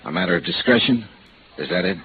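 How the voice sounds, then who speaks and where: Wry, gravelly radio noir detective. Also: male voice, low voice, fast talker. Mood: dry, sardonic. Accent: American. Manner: sardonic, deadpan, clipped questioning. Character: wry, hard-boiled male insurance investigator narrating and questioning. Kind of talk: 1950s American radio noir detective acting. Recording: scratchy, muffled vintage radio recording with hiss.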